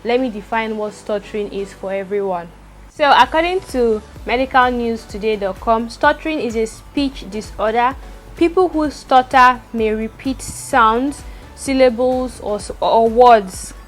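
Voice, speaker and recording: A young woman vlogging from home, talking very fast with a stutter and repeating words. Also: a little high. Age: young adult. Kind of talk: personal vlog talk to camera. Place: indoors at home, rain outside.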